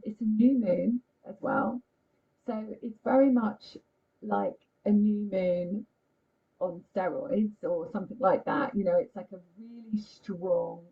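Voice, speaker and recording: A woman astrologer giving a casual, chatty talk on a live stream, croaky-voiced, often checking in with "okay?". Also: medium pitch. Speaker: astrologer and healer. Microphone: computer mic on a video call. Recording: muffled lo-fi stream audio.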